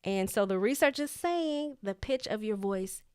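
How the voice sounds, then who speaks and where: A woman coach talking casually to her listeners, slowing to stress her key points and saying them twice. Her naturally high voice has been brought down to a lower tone, and it sounds smooth and resonant. The recording is close and dry. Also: animated, no room sound. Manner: deliberate, repeats points for emphasis. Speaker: leadership coach. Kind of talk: casual talk to camera.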